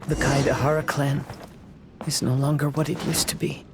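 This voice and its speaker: A man voicing a gentle, melancholy young samurai poet in a game, soft-spoken and reflective. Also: mid-range voice, level tone, moderate pace, creaky, very noisy, lightly acted. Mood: pensive. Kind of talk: dramatic game voice acting.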